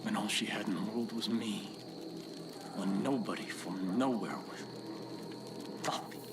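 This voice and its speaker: An actor playing a solemn, devout cult preacher in a video game, telling an intimate story with hushed, unsettling calm. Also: male voice, fairly low pitch, measured pace, vocal fry, very noisy. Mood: haunted, fervent. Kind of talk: confessional game cutscene monologue.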